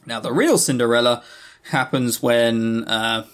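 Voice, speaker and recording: A man's voice: medium pitch, measured pace, a bit bright. A man hosting a podcast in casual, rambling review talk, wry and self-deprecating, swearing freely and stressing key words. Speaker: podcast host reviewing visual novels.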